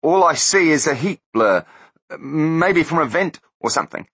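A man voicing an adult male hired killer who sneers, then breaks into shrill panic. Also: animated, unhurried, sharp tone, breathy edge, full character voice. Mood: defiant.

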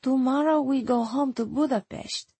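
A woman's voice: high voice, moderate pace, warm tone, husky, no room sound, voice pushed up, heavily acted. A woman voicing a weary, resigned yet stern woman with formal, grave speech in an audiobook. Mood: regretful refusal.

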